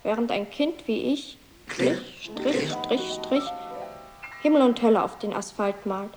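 An actress playing a wistful child, reciting repetitively in a lyrical radio play. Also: female voice, on the high side, expressive, unhurried, music in the background. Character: wistful child chanting while playing hopscotch.

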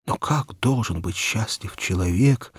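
Low, husky, unhurried male storyteller. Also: expressive, dry recording, clean studio sound. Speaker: audiobook narrator. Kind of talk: expressive literary storytelling for children, scripted first-person narration.

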